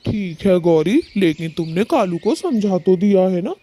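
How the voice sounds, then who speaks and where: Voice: mid-range voice, animated, measured pace, dark tone, a little hiss or hum, close to an everyday voice. A performer voicing a male cartoon bird with a theatrical, complaining and pleading way of talking. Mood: aggrieved. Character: dramatic male cartoon bird, husband or neighbour, plaintive. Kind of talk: Hindi children's cartoon story dialogue.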